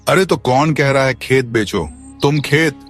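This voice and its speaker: A man's voice: medium pitch, unhurried, fairly bright tone, soft background music, lightly acted. A man voicing a greedy, bullying village landlord in a cartoon story, sneering, blunt and domineering. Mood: menacing, gloating. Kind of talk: Hindi cartoon moral-story voice acting.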